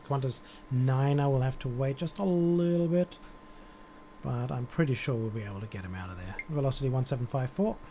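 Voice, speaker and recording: A man playing air traffic controller on a live stream, giving measured procedural clearances with casual asides. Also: medium pitch, mellow.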